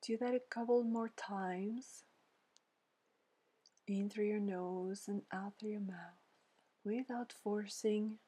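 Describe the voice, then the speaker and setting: A woman leading a guided meditation in a soft, breathy, near-whispering voice, gently soothing, with pauses between short phrases. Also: medium pitch, fairly even intonation. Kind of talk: guided meditation, scripted narration.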